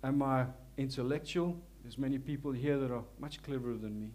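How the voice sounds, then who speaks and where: A man's voice: medium pitch, steady tone. Earnest South African man preaching. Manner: earnest, humble, halting with many restarts; prays softly and slowly. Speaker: lay preacher. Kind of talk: church sermon and prayer. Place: church.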